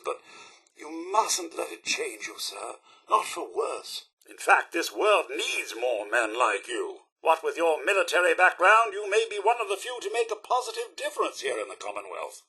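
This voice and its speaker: A prim British robot butler from a video game, high-voiced and bright. He is fussy and deferential, and his theatrical, formal phrasing swoops widely as he devotedly frets over his master. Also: breathy edge, exaggerated voice. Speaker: robot servant companion. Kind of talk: video game character dialogue.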